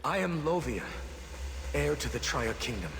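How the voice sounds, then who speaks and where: An actor playing an earnest, confused captive royal heir in a video game cutscene, formal and urgent. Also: male voice, fairly high pitch, very animated, measured pace, fairly bright tone, heavily acted. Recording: reverberant, clear background noise.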